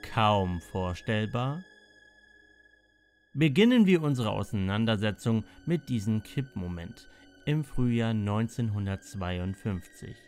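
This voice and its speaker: A man narrating a scripted mystery documentary in a measured way, with dramatic pauses and emphatic short sentences. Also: on the low side, expressive, a little mellow. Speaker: narrator of a mystery audio report.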